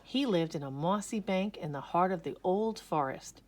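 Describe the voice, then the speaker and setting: A woman narrating a children's story aloud with expressive, dramatic emphasis. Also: fairly low pitch. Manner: slow, animated, dramatic emphasis.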